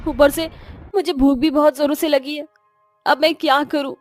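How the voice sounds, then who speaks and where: A woman's voice: high-pitched, fairly even intonation, moderate pace, darkish tone, soft background music, natural voice. A woman voicing an emphatic, scheming daughter-in-law in a cartoon moral story. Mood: distressed. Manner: theatrical, emphatic. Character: scheming, cruel daughter-in-law, later a desperate, remorseful mother. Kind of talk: animated moral-story voice acting.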